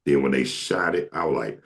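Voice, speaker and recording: Very deep, gravelly man. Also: lively intonation, measured pace, fairly bright tone. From Atlanta, Georgia. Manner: joking, slips into comic impressions. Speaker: show host. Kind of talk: casual storytelling chat.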